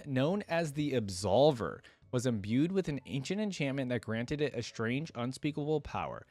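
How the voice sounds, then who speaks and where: A man's voice: medium pitch, a little mellow, no echo. A man hosting a reaction channel, speaking casually to viewers as he comments and reads story passages aloud. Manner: casual, addresses viewers directly. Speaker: host of a game lore reaction channel.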